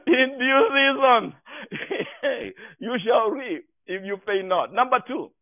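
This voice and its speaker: Kenyan guy preaching, emphatic, high voice, sounds tinny like thru a phone. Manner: emphatic, exhorting, repeats key phrases. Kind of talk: sermon. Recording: echoey room, thin phone-like sound.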